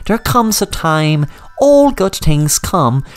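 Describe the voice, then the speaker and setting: A woman's voice: low-pitched, sing-song, slow pace, darkish tone, full character voice. A woman voicing a scheming, cruel male villain who taunts his captives in a storybook reading. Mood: gloating. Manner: gloating, taunting.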